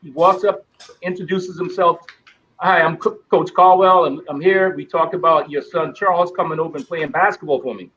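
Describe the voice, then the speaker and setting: A middle-aged man tells stories and acts out the voices of the people in them. His voice sits slightly high. The sound is a little dull and muffled. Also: normal speed, slight background noise. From Bahamas. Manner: animated storyteller acting out quoted voices. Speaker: guest, former basketball player. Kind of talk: storytelling interview.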